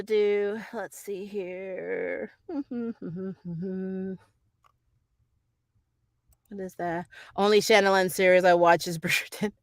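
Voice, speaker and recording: A woman's voice: fairly high pitch, pushed and bright, dry recording, studio quality. A middle-aged woman chatting casually and off the cuff as a review host, rambling and candid, swearing freely and often chuckling. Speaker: host of a TV and film review channel; actor who auditions. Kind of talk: casual unscripted livestream chat.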